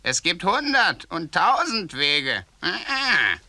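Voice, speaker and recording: Theatrical crow, high voice, very sharp, children's audio play, dry sound. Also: male voice, sing-song, takes it slowly, dry recording, heavily acted. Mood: self-important. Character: know-it-all male crow, croaking and theatrical.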